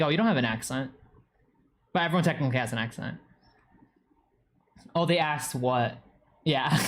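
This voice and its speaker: A young man with a New England accent, chatting casually with viewers on a live stream and rambling with lots of fillers. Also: fairly high pitch. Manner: rambling, heavy use of "like". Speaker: streamer reading chat.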